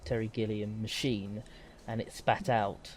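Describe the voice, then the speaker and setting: A man chatting casually on a podcast in a halting way, repeating words. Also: fairly low pitch, flat delivery, slight background noise. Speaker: podcast panelist. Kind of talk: casual panel chat about films.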